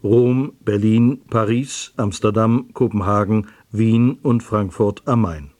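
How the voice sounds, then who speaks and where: A male radio announcer reads a scripted introduction in a formal, measured way. His voice sits at an ordinary middle pitch. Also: darkish tone.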